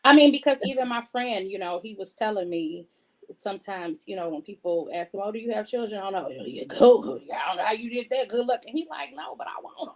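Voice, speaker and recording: A woman's voice: mid-range voice, animated, a little fast. A woman speaking casually and conversationally as an interviewed author.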